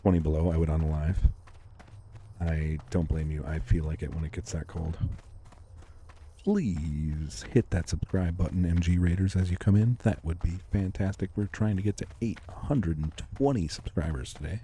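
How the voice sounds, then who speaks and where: A young man livestreaming a game, chatting calmly and casually with his viewers and thanking them for comments. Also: low-pitched, moderate pace, a little hiss or hum. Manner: laid-back, calm, chatty with viewers. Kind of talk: casual live-stream chat reading comments.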